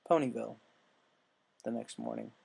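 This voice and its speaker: A man's voice: mid-range voice, fairly even intonation, moderate pace, gravelly, darkish tone, slight background noise. A man reading scripted fan fiction aloud as the narrator. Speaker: story narrator.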